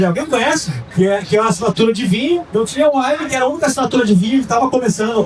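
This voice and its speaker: A man's voice: extremely high pitch, recorded from a distance, slight background noise, poor audio. A man in his thirties, telling a story to a live audience in a chatty way full of asides. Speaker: coffee business founder giving a talk.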